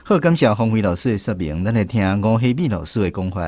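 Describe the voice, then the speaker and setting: Male radio host for a language lesson: low voice, lively intonation, Taiwanese accent. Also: fast, no room sound, studio quality.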